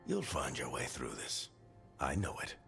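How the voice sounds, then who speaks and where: A man voicing a weary, wise older mentor in a video game, gravelly and gruff but fatherly. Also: extremely low pitch, sing-song, a little slow, a bit bright, soft background music, heavily acted. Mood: wry, weary.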